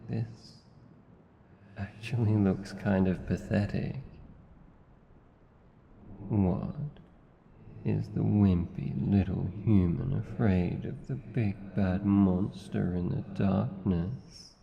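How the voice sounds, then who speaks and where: A low, gravelly Australian male demon played by a man speaks unhurriedly in an intimate ASMR roleplay, swathed in long reverb. He is a menacing night creature with an awkwardly tender streak. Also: extremely slow, long pauses, heavily acted. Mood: taunting. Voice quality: deep, gravelly. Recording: slightly dull, long reverb.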